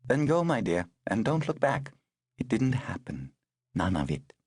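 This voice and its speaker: A male narrator voicing a brisk, wry aristocratic older woman who talks in a clipped, commanding way. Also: on the low side, big pitch swings, darkish tone, husky, no echo, studio quality, voice pushed up, full character voice.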